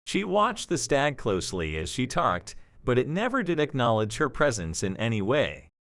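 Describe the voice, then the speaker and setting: A man reads as the narrator of a dramatic story. His mid-pitched voice moves with lively, varied intonation, and his delivery is measured and expressive. The sound is dry and close. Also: dry recording.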